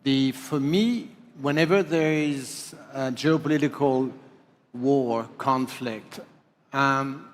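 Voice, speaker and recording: A man speaking as a hotel group executive on a panel, firm and deliberate. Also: medium pitch, a little hiss or hum. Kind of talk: panel discussion. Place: large conference hall stage. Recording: long hall reverb.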